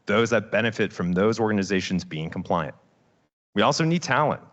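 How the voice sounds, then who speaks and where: A man co-founder delivering a confident, salesy startup pitch on stage. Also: expressive, fairly quick, a bit bright, dull audio. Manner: confident, salesy, building to asks.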